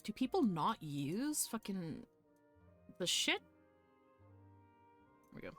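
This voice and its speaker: A woman streaming a game live, chatting casually with viewers in an animated, sweary, fan-like way. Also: mid-range voice, takes it slowly, soft background music. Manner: foul-mouthed. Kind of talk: casual chat with viewers while gaming.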